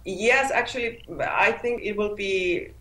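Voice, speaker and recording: A woman's voice: medium pitch, slow, fairly bright tone, reverberant. A woman whose first language is Finnish, answering interview questions as an author, often restarting and repeating words as she searches for them. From Finland. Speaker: author guest.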